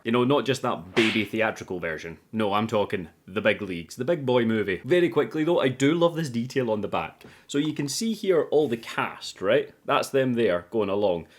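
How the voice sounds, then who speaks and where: Chatty man recapping a movie. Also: medium pitch. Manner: chatty, informal, swears casually. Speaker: YouTube movie reviewer. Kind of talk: casual scripted movie recap commentary.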